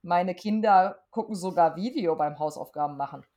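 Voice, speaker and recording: A woman's voice: fairly low pitch, normal speed, dark tone. A middle-aged woman, chatting casually and frankly as a podcast guest, with emphatic wording. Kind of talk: casual chat.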